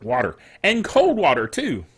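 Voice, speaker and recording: A man's voice: fairly high pitch, breathy edge. An older man hosting a casual solo tech show, rambling playfully like a self-styled curmudgeon, stretching words for emphasis and tossing in spoken sound effects. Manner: animated, emphatic delivery with drawn-out words. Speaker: podcast host. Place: small home studio.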